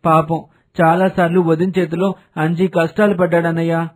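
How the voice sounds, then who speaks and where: A man voicing an earnest, pleading younger village man in an animated moral story, respectful and insistent. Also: on the high side, moderate pace, close to an everyday voice.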